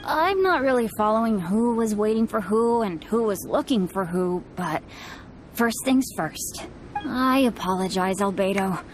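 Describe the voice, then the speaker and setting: A woman's voice: medium pitch, animated, measured pace, noisy background, close to an everyday voice. A woman voicing an earnest, friendly, self-blaming teenage boy adventurer in a video game. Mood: apologetic. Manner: earnest, boyish. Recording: music behind the voice.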